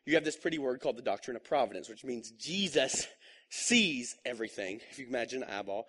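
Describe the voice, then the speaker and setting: A man's voice: mid-range voice, lively intonation, fast, fairly bright tone, slightly rough. Man preaching a casual sermon. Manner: conversational and joking, with rising emphatic bursts. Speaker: pastor.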